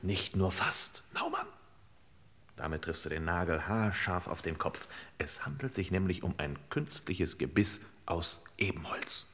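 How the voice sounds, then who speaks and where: An actor playing a hard-boiled, sardonic detective boss in an old radio crime play, commanding and sarcastically florid, in a reverberant space. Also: male voice, low-pitched, sing-song, unhurried, a bit bright, full character voice. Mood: grim, dry.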